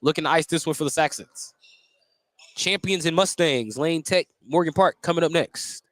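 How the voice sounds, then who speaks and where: A man doing live radio play-by-play of a high school basketball game, describing the action in clipped running phrases. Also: normal speed, a bit bright, no echo. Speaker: play-by-play announcer.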